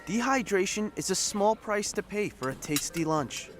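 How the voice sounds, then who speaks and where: A man's voice: high-pitched, expressive, moderate pace, music in the background. A man playing a blunt, sarcastic, slangy young second-in-command in an audio drama. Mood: flippant.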